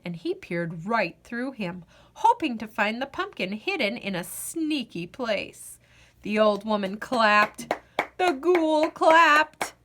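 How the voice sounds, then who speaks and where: Bright, animated woman storyteller. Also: fairly high pitch. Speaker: storyteller, host of a read-aloud show. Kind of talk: expressive children's storybook read-aloud.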